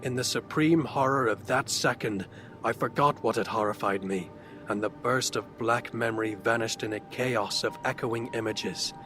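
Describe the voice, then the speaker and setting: A man's voice: medium pitch, moderate pace, lightly acted. A man playing a tormented, reclusive outcast in a horror audio drama, narrating in an ornate, theatrical and intense manner. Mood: bleak, resigned. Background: dark fantasy ambience music.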